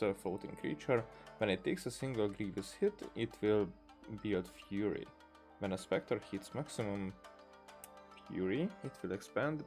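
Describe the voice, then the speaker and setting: A man giving casual, off-the-cuff commentary as he plays a video game, muttering his reactions. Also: on the low side. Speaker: gaming video creator playing and commenting.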